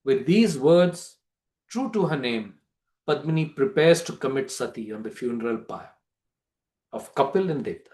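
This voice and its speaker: A man telling a story to a group in a theatrical way, with deliberate pauses. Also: medium pitch, expressive, darkish tone. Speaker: storyteller giving a dramatic reading. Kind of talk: dramatic storytelling to a book club.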